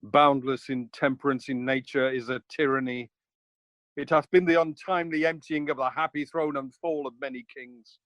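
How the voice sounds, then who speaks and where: A man's voice: medium pitch, fairly even intonation, moderate pace, a bit bright, dry recording, natural voice. A man playing a loyal, earnest and patriotic nobleman in a Shakespearean radio play, with declamatory verse delivery. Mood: counselling, earnest.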